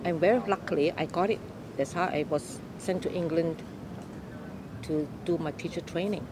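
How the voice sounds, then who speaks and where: An older woman from Malaysia telling her life story in an unhurried, explaining way during an interview. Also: fairly low pitch, background noise. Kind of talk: oral history interview.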